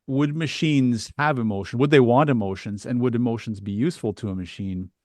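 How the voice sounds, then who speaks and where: A man's voice: mid-range voice, a little fast, a little mellow, no echo. A man hosting a casual, reflective discussion, musing tentatively as he puts his ideas forward. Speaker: podcast host.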